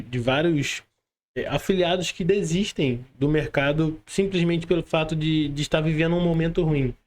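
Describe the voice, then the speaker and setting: Higher-pitched Brazilian male podcast host. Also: darkish tone. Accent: Brazilian Portuguese. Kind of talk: casual interview questioning.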